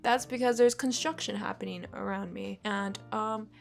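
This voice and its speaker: A woman's voice: medium pitch, fairly even intonation, normal speed, vocal fry. Congested, chatty woman in her twenties. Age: 20s. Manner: rambling and chatty, swears often. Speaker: art vlogger. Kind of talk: casual chat while sketching. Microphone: USB desk microphone.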